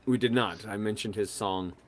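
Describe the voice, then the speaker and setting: A man's voice: fairly low pitch, normal speed, a little hiss or hum. A man co-hosting a podcast in casual chat, rambling and hesitant, with many fillers and restarts. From United States. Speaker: podcast co-host.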